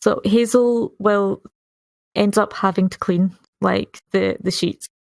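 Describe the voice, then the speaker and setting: A woman's voice: mid-range voice, fairly even intonation, slow, vocal fry, darkish tone, no echo. Dry, close-sounding woman playing a tabletop game. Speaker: tabletop roleplay player. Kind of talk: casual in-game narration and roleplay.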